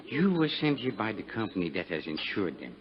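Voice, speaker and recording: A shady French-accented gangster in an old radio drama. His voice sits on the low side and swings freely, oily and evasive with courteous menace. The muffled vintage broadcast has crowd murmur behind it. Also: wildly swooping pitch, a little fast. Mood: smooth, guarded. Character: shady, suave Algiers gangster, sly and menacing. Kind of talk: 1950s radio drama acting.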